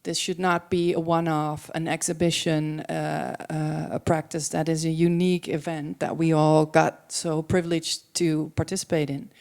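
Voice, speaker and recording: Mellow low-voiced woman, native Dutch speaker. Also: little pitch movement, normal speed, vocal fry, few pauses. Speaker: panel participant. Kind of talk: personal reflection at a public panel. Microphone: handheld microphone.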